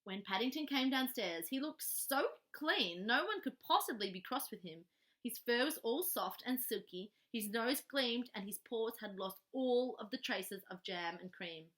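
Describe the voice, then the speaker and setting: A woman reading a children's story aloud expressively, animated and adding playful little asides. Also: on the high side, measured pace. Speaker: storybook reader.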